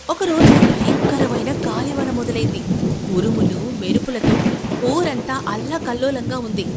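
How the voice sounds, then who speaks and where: A woman giving scripted narration of a moral story, as the storyteller between scenes of dialogue. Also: fairly high pitch. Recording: music behind the narration.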